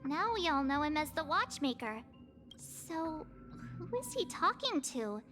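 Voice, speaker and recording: A woman's voice: high-pitched, big pitch swings, measured pace, sharp tone, faint music behind, exaggerated voice. A woman voicing a curious, cheerful young girl who asks questions, in scripted video game acting. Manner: inquisitive.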